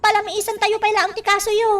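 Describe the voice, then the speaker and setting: Very high-pitched worried daughter. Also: female voice, level tone, fast, a bit bright. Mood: anxious, reproachful. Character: worried young daughter cautioning her mother. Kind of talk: Ilocano radio drama acting. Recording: distant mic, faint background noise.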